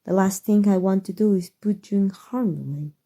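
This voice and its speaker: A woman with a Mexican accent voicing an elegant, centuries-old female vampire in an ASMR roleplay, doting and softly formal, with a breathy voice. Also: medium pitch, close to an everyday voice. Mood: tender, gracious. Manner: soft, tender, formal and unhurried endearments.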